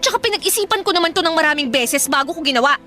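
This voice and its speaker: A woman's voice: sits high, animated, very fast, pushed and bright, faint music behind. A woman playing an irritable, defiant elder sister and hard-up single mother in a radio drama, scolding and bluntly sarcastic. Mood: defensive, exasperated.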